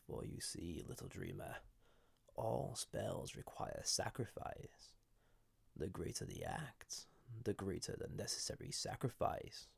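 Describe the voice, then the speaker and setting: A man voicing an ancient banished villain entity in an intimate audio roleplay, soft-spoken and formal, with a gravelly, husky voice that often drops to a whisper. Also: low voice, no echo, exaggerated voice. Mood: awed, intrigued. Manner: slow, halting, gently condescending, formal. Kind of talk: intimate scripted audio roleplay addressed to listener.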